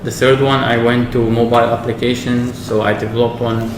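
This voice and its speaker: Man from Syria, round-table host; halting, self-correcting, sits off the mic in an echoey room. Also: mid-range voice, little pitch movement, distant mic, noisy background.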